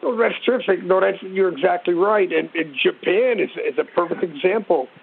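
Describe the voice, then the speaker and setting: A man's voice: high voice, fairly quick, gravelly, a little hiss or hum. An older American man explaining things informally as an expert interview guest, blunt and emphatic, in short repeated phrases. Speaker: military expert guest, retired sergeant major. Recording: tinny narrow phone-line radio sound.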